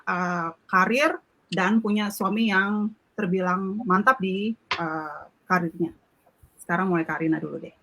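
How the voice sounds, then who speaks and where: A woman's voice: medium pitch, fairly bright tone, rough recording. A woman hosting an online group chat, chatty and friendly, mixing English words into her talk. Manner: friendly, chatty, laughs easily. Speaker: host.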